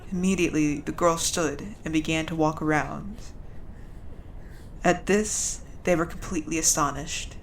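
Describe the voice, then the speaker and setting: A woman playing a grieving, solemn speaker at a noble family's funeral in a fantasy audio drama, with measured, halting delivery. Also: sits low, slight background noise, low-quality recording. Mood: solemn, reverent. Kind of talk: audio drama eulogy with solemn scripture-like reading.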